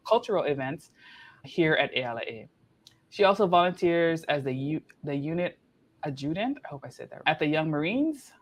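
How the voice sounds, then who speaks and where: Upbeat man hosting a podcast. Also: fairly high pitch. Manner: upbeat, chatty, sprinkles Hawaiian words. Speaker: podcast host. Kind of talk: introducing panel guests.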